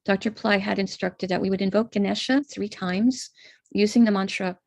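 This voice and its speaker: An American woman with a gravelly edge of vocal fry talks patiently through a chart, one box at a time. Her voice is recorded dry and close on a computer mic for a live stream, with no room sound around it. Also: medium pitch, steady tone, normal speed, darkish tone, no echo. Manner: patient, explanatory, walks through a chart step by step. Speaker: astrologer leading a ritual. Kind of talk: live-streamed ritual instruction.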